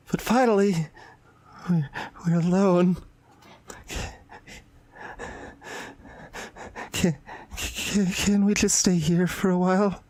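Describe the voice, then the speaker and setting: A woman playing a shy, anxious and intense high school girl in a visual novel, speaking in a hesitant, confiding way. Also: a little mellow, no echo. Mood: desperate, pleading. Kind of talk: dramatic visual novel voice acting. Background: background music.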